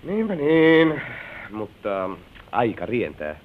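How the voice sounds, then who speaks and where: A man's voice: medium pitch, huge pitch swings. An actor playing a persuasive, impatient lover tempting a married woman, with coaxing, theatrical old radio drama delivery. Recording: old muffled narrow-band radio recording.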